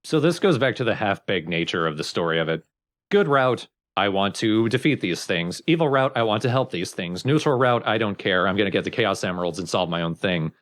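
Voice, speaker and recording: A man's voice: on the low side, expressive, a little fast, a bit bright. A young man casually explaining game mechanics as a let's play commentator.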